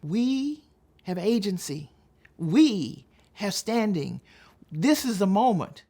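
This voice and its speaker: An older American woman from Texas giving a storytelling advocacy talk, speaking deliberately and emphatically with dramatic pauses. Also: mid-range voice, sing-song, dark tone. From Texas, United States. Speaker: public speaker.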